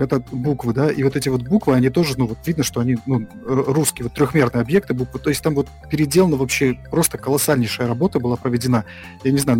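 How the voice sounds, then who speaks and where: A man co-hosting a casual podcast about games, talking in halting bursts full of fillers and restarts. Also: medium pitch, darkish tone. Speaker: podcast co-host.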